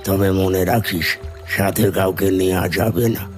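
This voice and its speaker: A man voicing a wise old holy hermit in an animated fairy tale, measured and reassuring. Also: sits low, faint music behind, close to an everyday voice.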